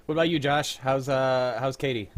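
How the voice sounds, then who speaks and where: A man's voice: medium pitch, fairly even intonation, normal speed. A young man hosting a casual group chat on a livestream. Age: young adult. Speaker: livestream host. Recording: noisy background.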